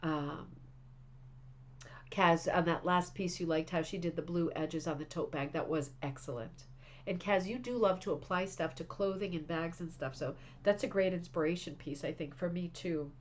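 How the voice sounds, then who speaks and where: A woman's voice: on the low side, moderate pace, slight background noise. A woman hosting a craft club livestream, chatting casually and reading viewer messages with enthusiasm, sounding slightly congested. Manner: chatty, enthusiastic, frequent restarts and fillers.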